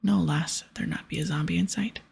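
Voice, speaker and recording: A woman voicing a gruff, bossy male pirate captain in a breathy ASMR whisper, with piratical dialect. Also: fairly low pitch, brisk, darkish tone, exaggerated voice. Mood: bossy, explaining. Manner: piratical dialect, explaining and ordering. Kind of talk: whispered ASMR game dialogue.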